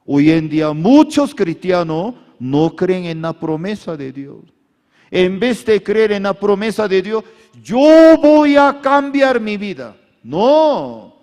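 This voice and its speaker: Pastor preaching slow in non-native spanish, echoey big hall, gets the crowd to repeat after him. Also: male voice, high-pitched, very animated, darkish tone. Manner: preaching with call-and-response to the congregation. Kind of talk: sermon.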